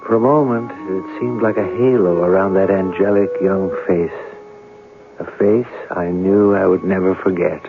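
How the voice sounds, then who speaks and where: An actor playing an earnest, romantic newspaper reporter in a radio mystery, with a deliberate, theatrical delivery. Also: male voice, deep voice, steady tone, over music. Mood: wistful, haunted. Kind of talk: 1970s radio drama narration and dialogue. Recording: tinny, noisy old radio broadcast.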